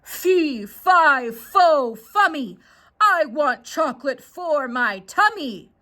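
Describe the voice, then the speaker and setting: A woman voicing a huge, hungry female giant from a children's storybook, chanting in rhymes with a gruff, booming delivery. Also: lively intonation, takes it slowly, bright, forceful tone, exaggerated voice.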